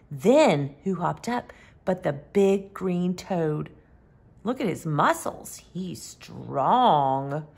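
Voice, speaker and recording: A woman reads a picture book for young children in a high, lively voice. Her pace is very slow, and she stretches words expressively as a storytime host. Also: gravelly. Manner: expressive, with emphatic animal sounds and drawn-out words.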